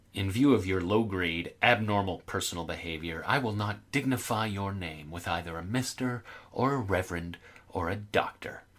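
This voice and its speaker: A man's voice: low-pitched, fairly bright tone. A man voicing an anonymous, self-righteous letter writer, reading threats in an accusatory, contemptuous and emphatic way.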